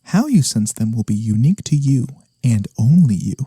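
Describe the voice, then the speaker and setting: Guy playing a polite soft incubus demon, super dark velvety breathy voice, really expressive, close dry asmr. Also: medium pitch, moderate pace, dry recording. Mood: softly instructive. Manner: polite, hesitant, softly spoken, sometimes whispering. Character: gentle, courteous, wholesome male incubus demon. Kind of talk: soft-spoken ASMR fantasy roleplay.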